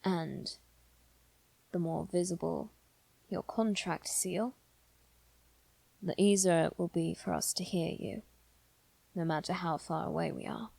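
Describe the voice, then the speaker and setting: A devoted male demon triplet, played by a woman, speaks softly and formally with a low, creaky voice. The delivery is measured and possessive, made for an intimate ASMR roleplay. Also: steady tone, no echo, exaggerated voice, rough recording. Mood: gentle, explaining. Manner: soft, measured, deliberate, sometimes near whisper. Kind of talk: scripted ASMR roleplay acting.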